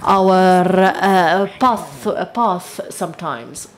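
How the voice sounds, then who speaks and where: A woman giving simultaneous interpretation of a lecture, halting often with long hesitations. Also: fairly low pitch, slight background noise. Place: lecture hall.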